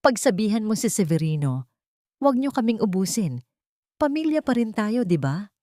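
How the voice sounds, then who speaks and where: A woman's voice: medium pitch, sing-song, normal speed, mellow, no room sound, clean studio sound, voice pushed up, lightly acted. A woman with a Filipino accent voicing a humbled elderly mother-in-law who begs tearfully in an audiobook. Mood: tearful pleading. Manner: pleading, tearful. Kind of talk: audiobook character dialogue.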